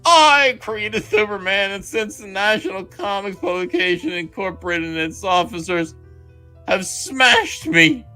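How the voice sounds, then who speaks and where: A man's voice: high voice, animated, a little slow, bright, forceful tone, faint music behind, pitched above the performer's own voice, heavily acted. Man putting on a melodramatic, bitter, wronged writer. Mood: furious, defiant. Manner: melodramatic, theatrical, swinging from outrage to near-whisper. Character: bitter destitute wronged comics writer reading out angry letters. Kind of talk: dramatic letter reading.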